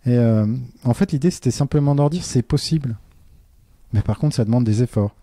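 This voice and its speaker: Hesitant French-speaking man, very dark voice. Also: mid-range voice, brisk, no echo. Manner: hesitant, many fillers and restarts; earnest and reflective. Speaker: teacher, interview guest. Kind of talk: casual interview conversation.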